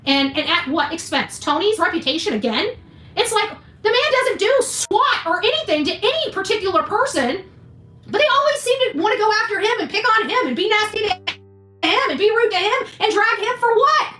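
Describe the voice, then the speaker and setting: A woman's voice: very high voice, big pitch swings, normal speed, pushed and bright. A woman delivering an impassioned, blunt opinion rant as a show host, scolding, mildly profane and repeating phrases for emphasis. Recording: echoey room, faint music behind.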